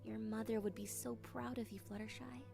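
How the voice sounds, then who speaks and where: A woman voicing an earnest, caring cartoon pony friend, measured and sincere. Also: a little high, steady tone, creaky, over music. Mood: warm, reassuring.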